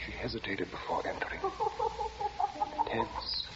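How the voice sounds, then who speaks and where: A man's voice: a little slow, dull audio. A man narrating a 1940s radio suspense story in a formal, grave, dramatic way. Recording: noisy, band-limited 1940s radio recording.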